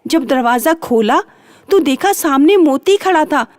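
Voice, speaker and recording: A woman's voice: high voice, expressive, a little slow, dry recording. A woman narrating a children's bedtime story, repeating and drawing out phrases for drama.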